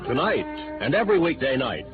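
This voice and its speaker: A man's voice: medium pitch, very animated, a little fast. A man announcing a programme dramatically in the style of 1950s radio. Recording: tinny old radio, loud music.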